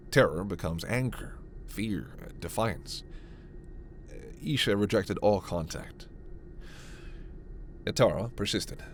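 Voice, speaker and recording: A man playing a gentle, earnest alien scientist in a sci-fi ASMR roleplay, speaking in a measured, hesitant, soft way. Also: fairly low pitch, a little hiss or hum. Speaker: voice actor.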